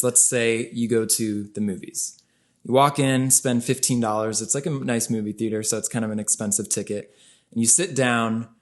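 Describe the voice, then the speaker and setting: A young man co-hosting a podcast, explaining ideas casually and peppering his talk with "like". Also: lowish voice. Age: young adult. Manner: explaining, casual, lots of "like" fillers. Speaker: podcast co-host. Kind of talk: casual conversation.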